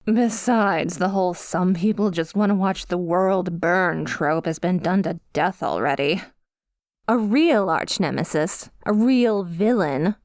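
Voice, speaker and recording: A woman's voice: mid-range voice, darkish tone, dry recording, clean studio sound. A woman playing a needy, taunting female supervillain obsessed with a hero, in a theatrical, coy ASMR roleplay monologue. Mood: scornful. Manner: theatrical, coy, swooping between pouty and menacing.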